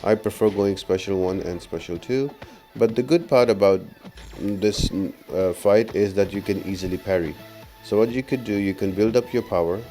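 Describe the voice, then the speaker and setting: A man's voice: fairly low pitch, normal speed, dark tone. A man giving a casual, instructional game walkthrough as a voice-over. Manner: explanatory, instructional. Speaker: gaming guide video narrator. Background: faint music.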